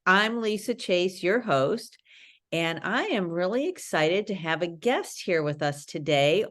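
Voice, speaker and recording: A woman hosting a podcast interview, upbeat and chatty with halting fillers. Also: on the low side, a bit bright. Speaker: podcast host.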